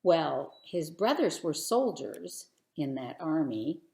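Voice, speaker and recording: A woman narrates a bedtime story for children with theatrical expression. Her voice sits a little low and she takes her time, very unhurried. The recording is roomy and echoing. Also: big pitch swings, vocal fry, mellow.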